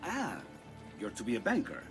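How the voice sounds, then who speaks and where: An actor with an Italian accent playing an earnest, restless young painter-inventor in a video game, courteous and thoughtful. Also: male voice, mid-range voice, wildly swooping pitch, normal speed, music in the background. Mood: courteous, friendly. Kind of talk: scripted video game acting.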